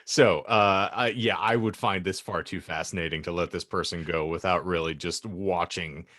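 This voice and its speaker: A man's voice: deep voice, steady tone, moderate pace, fairly bright tone, dry recording. A man co-hosting a podcast, riffing casually with wry, wordy, playfully dramatic phrasing. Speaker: podcast co-host.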